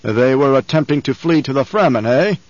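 A man voicing a menacing, scheming older male baron who talks in slow, rumbling commands. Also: expressive, a little mellow, dry recording, natural voice. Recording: dull, dry studio recording.

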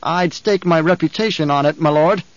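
A man voicing a nervous, flustered male guard captain who answers in a hurried, deferential way. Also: a little high, no echo, voice pushed up, natural voice. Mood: anxious. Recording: dull, dry studio recording.

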